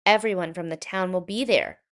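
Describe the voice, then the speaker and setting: A woman voicing a cheerful, enthusiastic town mayor in a children's picture book, in an animated, proclaiming manner. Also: on the low side, moderate pace, close to an everyday voice.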